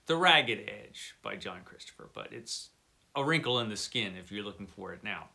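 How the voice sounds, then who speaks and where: A man's voice: mid-range voice, creaky, pushed and bright. A man hosting a book channel, chatting casually to viewers and leaning on words for emphasis. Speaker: book review channel host. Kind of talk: casual book chat to camera.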